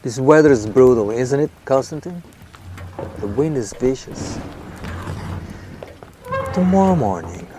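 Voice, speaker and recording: An actor in an audio drama playing a genial, self-absorbed middle-aged writer who chats sociably. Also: male voice, mid-range voice, dark tone, slight background noise.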